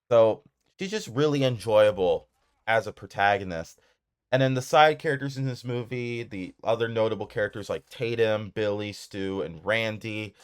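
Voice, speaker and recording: Male film commentator, low clean voice, casual and enthusiastic, loose rambling delivery. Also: clean tone. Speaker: video creator reviewing horror films.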